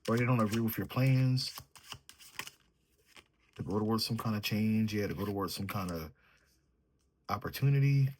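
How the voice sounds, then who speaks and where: A man with a mellow, sleepy way of talking, reading tarot cards and thinking aloud as he draws them. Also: fairly low pitch, moderate pace, pauses often, slight background noise. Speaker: tarot reader. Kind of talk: solo tarot reading, casual chat.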